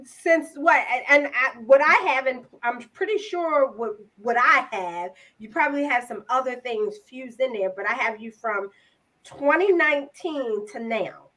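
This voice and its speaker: A woman hosts a casual podcast interview, chatty and affectionate. Her voice sits high, and she often restarts her sentences as she works toward a question. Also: lively intonation, unhurried, a bit bright, poor audio. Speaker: podcast host.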